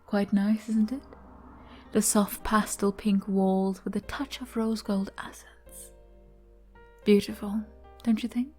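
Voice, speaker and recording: A woman playing a flirty, gentle arbiter bartender in an ASMR roleplay, soft-spoken and coy as she explains things patiently. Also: medium pitch, flat delivery, takes it slowly, pauses often, soft background music, natural voice.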